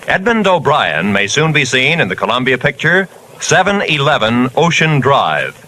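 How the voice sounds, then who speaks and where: A man's voice: a little high, sharp tone, slight background noise, poor audio. A male radio announcer reading the closing credits in a polished 1950s style. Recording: old radio transcription with music.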